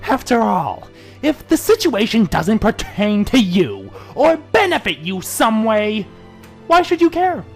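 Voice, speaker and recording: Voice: medium pitch, very animated, unhurried, pitched above the performer's own voice. An amateur reader voicing a protective big-brother stallion in a dramatic fan fiction reading, emphatic, wounded and menacing, with music behind. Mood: bitter anger.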